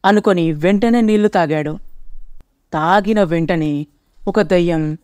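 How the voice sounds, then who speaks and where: A man's voice: high voice, unhurried, a little mellow, clear, resonant tone, dry recording, clean studio sound. A man narrating a scripted cartoon story as its storyteller.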